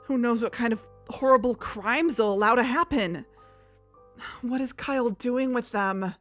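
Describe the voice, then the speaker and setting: A woman's voice: fairly high pitch, a little slow, natural voice. A woman playing an earnest, suspicious podcast investigator in a conversational audio drama. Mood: agitated. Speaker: podcast co-host. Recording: music under the voice.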